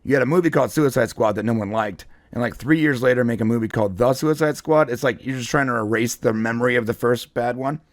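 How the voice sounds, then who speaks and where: A man's voice: mid-range voice, fast, hardly any pauses, no room sound. A man giving casual pop culture commentary as a show host, explaining things in an enthusiastic, conversational way. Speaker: show host and comic book fan commentator.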